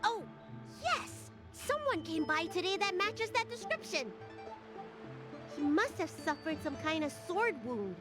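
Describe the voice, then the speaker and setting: An earnest young girl doctor from a video game. The voice is high and swings animatedly, with precise, bookish phrasing, over background music. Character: young girl healer, earnest, chatty and professional. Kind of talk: English video game voice acting.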